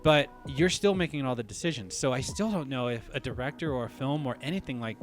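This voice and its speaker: A man's voice: mid-range voice, a little fast, faint music behind. A man co-hosting a casual podcast discussion, thinking aloud with frequent restarts and asides like "you know what I mean". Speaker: podcast co-host.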